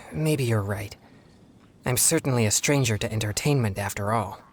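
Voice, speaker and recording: A male actor voices a sheltered, earnest young man who hunts spirits in a video game. He speaks formally and carefully, keeping himself in check. The pitch is medium and there is a little noise behind the voice. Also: natural voice. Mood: earnest, pensive. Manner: formal, courteous, measured. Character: earnest, polite young exorcist man, self-controlled and sheltered.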